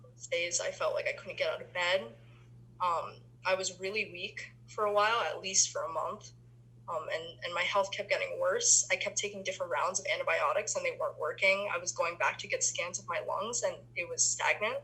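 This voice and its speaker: A woman's voice: fairly low pitch, steady tone, fairly quick, pushed and bright, breathy edge, low-quality recording. A young woman from the Philippines, a university student, chatting casually in an interview with many ums and restarts. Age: young adult.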